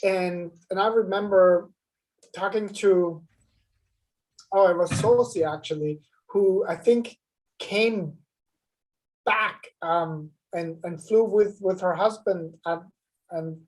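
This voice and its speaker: A woman's voice: fairly low pitch, slow, long pauses, a little mellow, rough recording. A woman on a live web show speaking earnestly about fear and shame, often repeating words as she goes.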